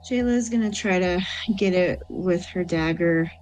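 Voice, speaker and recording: Lower-pitched woman at a game table. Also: a little slow, a little mellow, faint music behind, rough recording. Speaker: player in a tabletop role-playing game. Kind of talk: casual chat and short game descriptions.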